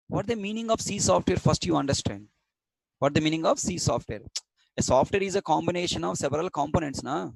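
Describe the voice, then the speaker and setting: A man's voice: a little high, creaky, no room sound, rough recording. A stern man from Coastal Andhra with a Telugu-accented Indian English, lecturing an online programming class, repeating points and quizzing students. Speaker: programming instructor.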